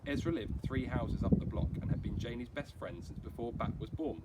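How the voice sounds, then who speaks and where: A man's voice: medium pitch, huge pitch swings, a little fast. A man reading a children's storybook aloud like a teacher, acting out the dialogue expressively. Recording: clearly noisy amateur recording.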